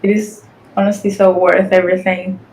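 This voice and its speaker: A woman's voice: medium pitch, level tone, moderate pace, a little mellow, low-quality recording. An eighteen-year-old woman from Guatemala, a podcast guest chatting casually and filling her speech with "like". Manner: chatty, frequent "like" fillers. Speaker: guest, fashion influencer and model.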